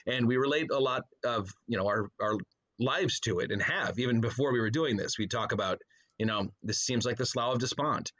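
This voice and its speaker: A man with a mid-pitched voice chats casually as a podcast guest. He tells things in a rambling way, breaking into his own sentences with asides. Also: a little fast, a bit bright. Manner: rambling, self-interrupting storyteller with frequent trailing asides. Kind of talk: casual interview chat.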